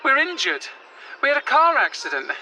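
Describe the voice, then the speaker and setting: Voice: high-pitched, sing-song, brisk, background noise. An actor playing an exhausted, indignant traveller with a British accent in an audio drama, cutting and exasperated. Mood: pleading. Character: exhausted, indignant traveller Madeline, pleading then sharp-tongued.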